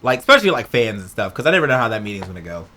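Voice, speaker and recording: A man's voice: lowish voice, sing-song, very fast, pushed and bright, a little hiss or hum. A man co-hosting a podcast, chatting casually and emphatically in slangy speech, often repeating his phrases. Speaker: podcast co-host. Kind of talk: casual chat.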